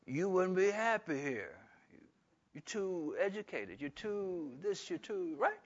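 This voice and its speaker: A man's voice: sits high, expressive, slow pace. An older man, preaching a folksy Bible-study sermon full of fillers and restarts. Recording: dull lo-fi recording.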